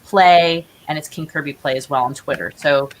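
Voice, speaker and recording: Casting: woman with a low voice, playwright guest on a chat show, earnest and explanatory, faint hiss on the recording. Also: moderate pace, rough recording. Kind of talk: casual interview chat about own projects. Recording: clear background hiss.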